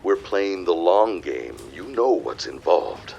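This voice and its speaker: Scheming businessman with swooping, dramatic pitch. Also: very animated, slow, gravelly, darkish tone, muffled recording, slight background noise, heavily acted. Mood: smug, secretive. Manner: smug, formal, theatrical. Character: scheming, domineering businessman in a 1916 period drama. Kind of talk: audio drama acting.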